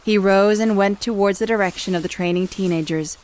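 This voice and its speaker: A woman's voice: mid-range voice, brisk, a little mellow, poor audio. A woman reading a scripted story as the narrator of an audio drama. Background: music.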